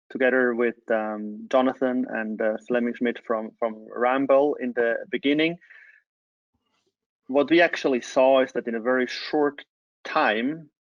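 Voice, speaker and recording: Man, mid-pitched, halting webinar presenter, close and dry on lo-fi call audio. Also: no room sound. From Switzerland. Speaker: change management consultant, webinar presenter. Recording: dull, lo-fi online call audio.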